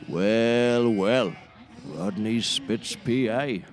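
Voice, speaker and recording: Man playing a low-voiced folksy auctioneer. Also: drawn-out words. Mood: amiable. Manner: folksy, rambling, wordy. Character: folksy, rambling, sardonic livestock auctioneer, man. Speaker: actor in a scripted comedy series. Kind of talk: scripted audio comedy acting.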